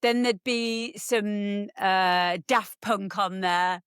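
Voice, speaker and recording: Smooth-voiced woman, chatty guest. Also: medium pitch, fairly even intonation, extremely slow, a bit bright, dry recording, clean studio sound. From England. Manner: chatty, rambling with many ums and restarts. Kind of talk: casual chat.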